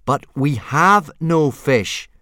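A man's voice: medium pitch, very animated, moderate pace, a bit bright, dry recording, studio quality, pitched above the performer's own voice. Guy playing a earnest viking kid, fed up but trying to be firm, storybook reading. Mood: exasperated.

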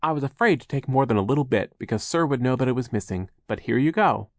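A man's voice: mid-range voice, lively intonation, fast talker, no echo, studio quality, voice pushed up, close to an everyday voice. A man voicing a kindly, timid mill partner in an audiobook, polite and anxious to please. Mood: kindly, hesitant.

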